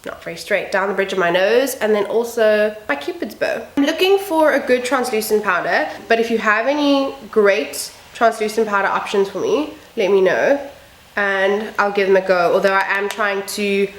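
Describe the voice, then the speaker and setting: A woman vlogger talking casually through a makeup tutorial, a little croaky and sniffly from a cold. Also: medium pitch, moderate pace, reverberant, poor audio. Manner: chatty, upbeat, self-deprecating asides. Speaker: beauty vlogger. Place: home room with building noise outside.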